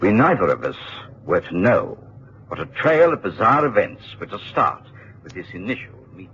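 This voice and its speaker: An actor playing a kindly, measured English physician of middle age in a radio drama, speaking with formal, avuncular precision. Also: male voice, on the low side, big pitch swings, a bit bright, husky, exaggerated voice. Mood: reflective. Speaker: narrator and supporting character in a radio play. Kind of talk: British radio drama acting and storytelling. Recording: muffled, noisy old radio broadcast tape.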